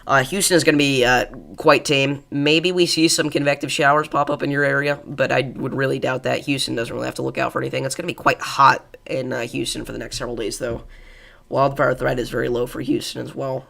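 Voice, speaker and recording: A man's voice: mid-range voice, little pitch movement, fairly quick, creaky, bright, forceful tone. A young man with a congested voice, hosting a live weather stream in a casual, rambling way with many fillers and chatting with viewers. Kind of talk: live weather coverage, reading chat.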